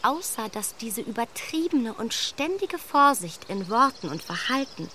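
An actress playing a witty, candid, self-assured young gentlewoman in a German radio drama. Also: a little high, sing-song, a little slow, a little hiss or hum, heavily acted. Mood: candid, critical.

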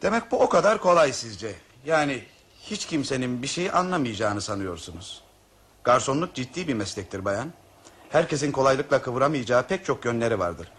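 An actor playing a talkative, suspicious and self-important coffee waiter on a train in a radio drama. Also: male voice, medium pitch, very animated, normal speed, fairly bright tone. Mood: dismissive, wary. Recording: noisy radio play recording.

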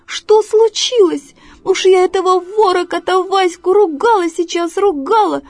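Sly, honey-voiced high-pitched fox. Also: female voice, dry recording. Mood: feigned sympathy. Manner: cooing, wheedling, drawn-out flattery. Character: sly, sweet-talking female fox, quick to turn shrill.

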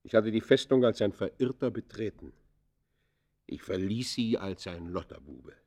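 An actor playing an embittered ex-convict in a radio play, confessing in theatrical, literary that swings from hushed to fierce. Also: male voice, fairly low pitch, expressive, normal speed. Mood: bitter, wounded pride. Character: embittered male ex-convict confessing his descent into crime. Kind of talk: German radio play acting, literary monologue.

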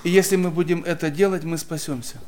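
A man's voice: fairly high pitch, steady tone, fast talker, darkish tone, slight background noise. Man preaching a story, paced in short phrases. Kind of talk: sermon testimony, interpreted line by line.

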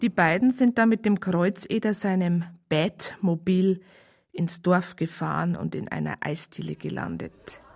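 A woman's voice: fairly low pitch, animated, a little slow, vocal fry, darkish tone. A woman playing a police colleague who recounts a case in a matter-of-fact, colloquial way with a Bavarian accent. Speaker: narrator. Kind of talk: first-person conversational narration in radio crime drama.

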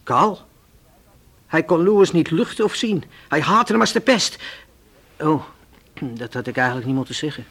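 An actor playing a nervous, defensive young nightclub entertainer in a radio play, with a hesitant, evasive way of talking. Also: male voice, on the high side, expressive, moderate pace, pauses often, a bit bright, breathy edge, full character voice. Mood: uneasy. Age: young adult.

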